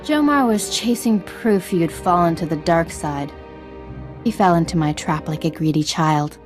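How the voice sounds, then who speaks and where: Woman playing a mocking, low-voiced dark Jedi villainess. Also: unhurried, over music, natural voice. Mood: gloating. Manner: mocking, seductive. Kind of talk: dramatic video game dialogue.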